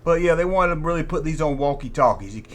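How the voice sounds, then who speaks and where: Chatty guy showing off old toys, highish voice that bounces all over, repeats himself. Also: expressive, quick pace. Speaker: host of a vintage toy show. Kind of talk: rambling nostalgic commentary on collectible toys.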